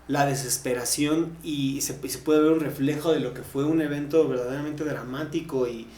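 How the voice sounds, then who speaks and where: A man's voice: mid-range voice, fairly even intonation, moderate pace, a little mellow. A Mexican man co-hosting a podcast, chatting casually and explaining at length with bits of slang. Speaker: podcast co-host. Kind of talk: casual panel discussion. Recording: roomy, echoing recording.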